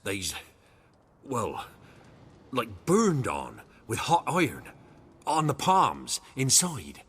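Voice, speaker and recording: Poor humble peasant in a game, husky, swingy pitch, rustic talk, scared n earnest. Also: male voice, wildly swooping pitch, drawn-out words, a bit bright, heavily acted. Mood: haunted, awed. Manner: rustic dialect grammar, deferential, dramatic storytelling. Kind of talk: video game voice acting.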